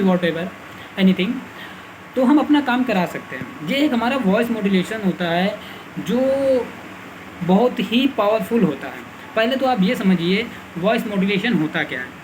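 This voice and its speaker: A man's voice: sits high, background noise. A man hosting a casual self-help lesson, often restarting his sentences and apologizing as he explains. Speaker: self-help video host. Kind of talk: casual explanatory talk to camera.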